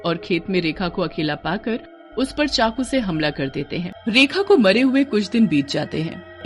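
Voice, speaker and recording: A woman storyteller reading scripted narration for a cartoon tale. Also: fairly low pitch.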